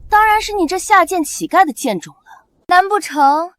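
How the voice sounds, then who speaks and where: A woman's voice: very high voice, normal speed, fairly bright tone, dry recording. An actress playing an arrogant, cruel pregnant noblewoman who talks down to everyone in a haughty, sneering way. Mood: scornful. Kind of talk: costume drama acting.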